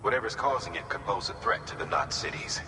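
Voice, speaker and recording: A man's voice: medium pitch, lively intonation, fast talker, lightly acted. A man playing a composed operations chief in a video game, giving briefings in a businesslike, authoritative way. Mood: concerned, brisk. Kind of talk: dramatic game radio briefing. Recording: echoey hall, clear background noise.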